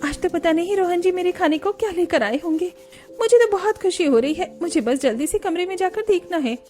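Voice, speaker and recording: Woman voicing an eager, high-pitched young daughter-in-law. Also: expressive, a little fast, dark tone. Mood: excited, eager. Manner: emotional, pleading, dramatic. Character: young daughter-in-law, eager, pleading, quick to take offence. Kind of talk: Hindi cartoon story dialogue.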